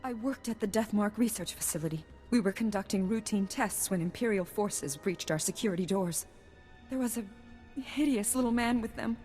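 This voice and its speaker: An actress playing a frightened young research worker and anxious survivor in a video game, hesitant and emotional. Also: female voice, medium pitch, normal speed, darkish tone, long reverb tail, faint music behind, natural voice. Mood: shaken. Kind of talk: video game cutscene acting.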